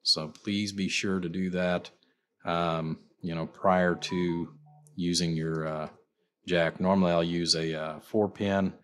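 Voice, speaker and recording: A man's voice: deep voice, flat delivery, slow pace. A man informally presenting a demonstration as a builder and seller, rambling along with frequent repeated filler phrases. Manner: rambling, repeats "you know" and "like I said". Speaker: amplifier builder and seller presenting his work.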